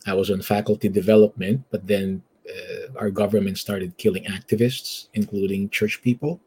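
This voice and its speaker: A man from the Philippines with a Filipino accent and a husky voice, telling his story conversationally as an interview guest. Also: on the low side, flat delivery, normal speed, darkish tone. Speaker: church elder and guest.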